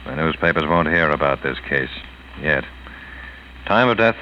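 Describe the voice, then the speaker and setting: A man's voice: rumbling low, animated, normal speed. A man playing a terse, probing police detective in a 1940s radio drama, with clipped, businesslike questioning. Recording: muffled vintage radio, faint noise.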